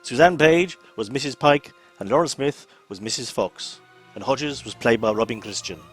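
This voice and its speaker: A man's voice: medium pitch, normal speed, slightly rough. Man, announcer, scripted credit reading, mid-range voice, faint music bed.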